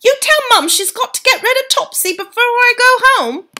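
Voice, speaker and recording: A woman's voice: very high-pitched, animated, moderate pace, sharp tone, voice pushed up, exaggerated voice. A woman voicing a cheeky boy who has been turned into a mouse, gleeful and chirpy.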